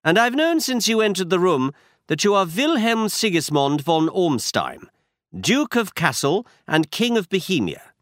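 A man voicing a brilliant, confident detective who speaks crisply and with assurance. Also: sits high, very animated, unhurried, fairly bright tone, no echo, clean studio sound, pitched above the performer's own voice, exaggerated voice. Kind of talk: audiobook character reading.

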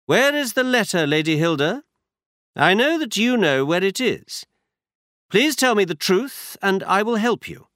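A man's voice: high-pitched, sing-song, measured pace, fairly bright tone, no echo, clean studio sound, voice pushed up, full character voice. A man voicing a cool, insistent male detective in a storybook reading, firm and pressing.

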